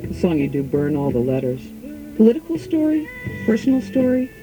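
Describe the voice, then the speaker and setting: A woman radio host reading a measured, enthusiastic introduction and asking interview questions. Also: lowish voice, big pitch swings, over music, low-quality recording.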